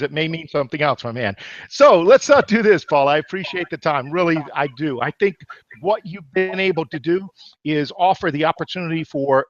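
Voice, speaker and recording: Guy hosting a webinar call, kinda high voice, jokey and hyped, dull dry call audio. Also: no echo. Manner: jokey, hype-driven, calls the guest "my man". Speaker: webinar host, interviewer. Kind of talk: webinar interview.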